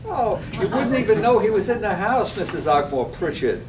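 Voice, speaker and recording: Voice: very animated, moderate pace, close to an everyday voice. A performer acting a coaxing, easygoing village character in a comic stage reading of a radio play, wheedling with many ohs and hesitations. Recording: echoey, distant microphone, faint rumbling noise.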